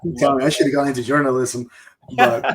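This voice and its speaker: A man's voice: medium pitch, very animated, quick pace, crowd noise. A man in his forties with a Peruvian accent, talking casually as a podcast co-host. He sounds upbeat and motivational, cheering his listeners on. His voice sits right in the middle of the male range. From Peru. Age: 40s. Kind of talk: casual business podcast chat.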